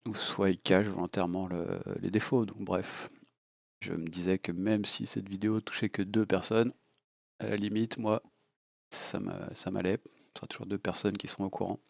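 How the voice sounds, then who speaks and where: Gravelly low-voiced man with a cold. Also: little pitch movement, moderate pace, dry recording, clean studio sound. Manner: blunt and crude, rambling with many fillers. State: has a cold. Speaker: FPV drone hobbyist reviewer. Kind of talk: casual unscripted product review. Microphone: low-level home mic.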